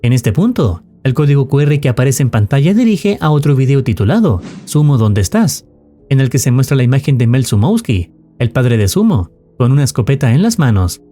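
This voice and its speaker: Guy reading a scripted story recap, formal and measured, soft mellow tone, pretty lively intonation. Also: mid-range voice. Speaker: narrator of horror video recaps. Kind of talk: scripted narration. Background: music.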